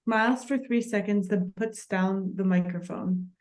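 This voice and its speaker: A woman's voice: mid-range voice, unhurried, darkish tone. A woman narrating short stage directions from a script at a table read.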